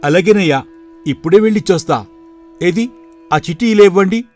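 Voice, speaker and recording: A man's voice: high voice, brisk, a little mellow, soft background music, close to an everyday voice. A man playing a poor, respectful young shop clerk in a moral story, earnest and polite in his talk. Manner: polite and deferential. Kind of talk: dramatized moral story for video.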